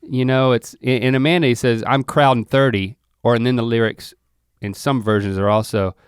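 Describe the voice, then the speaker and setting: Easygoing man with a smooth voice. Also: mid-range voice, fairly even intonation, normal speed, no echo, studio quality. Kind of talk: casual chat.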